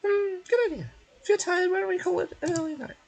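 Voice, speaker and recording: A man voicing a small, excitable fairy companion from a video game, chirpy and speaking in the third person. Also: very high voice, fast, gravelly, a little hiss or hum, voice pushed up. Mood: eager.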